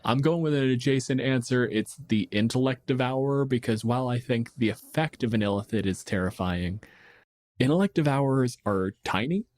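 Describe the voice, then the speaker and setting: A man's voice: mid-range voice, moderate pace, darkish tone, no echo. A man in his thirties chatting casually as a podcast host, explaining things in a wry, emphatic, sweary way.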